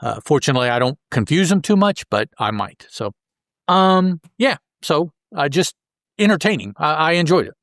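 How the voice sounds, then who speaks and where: A man co-hosting a podcast, casually discussing a comic book story and thinking aloud in a halting way. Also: on the high side, expressive, fairly bright tone, no room sound, clean studio sound. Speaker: podcast co-host.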